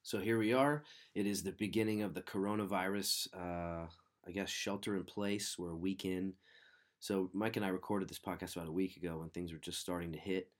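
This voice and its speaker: A man hosting a podcast, a bit nasal and sniffly from a cold, talking in a relaxed, rambling, earnest way. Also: deep voice, fairly quick. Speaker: podcast host. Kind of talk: casual podcast intro.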